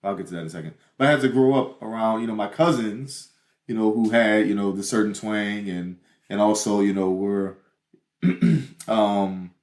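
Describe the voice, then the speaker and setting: A young man from New Orleans with a New Orleans accent, co-hosting a podcast in a halting, filler-heavy casual chat. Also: fairly low pitch, a little mellow. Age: young adult. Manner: halting, self-interrupting, many fillers. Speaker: podcast co-host. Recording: roomy echo.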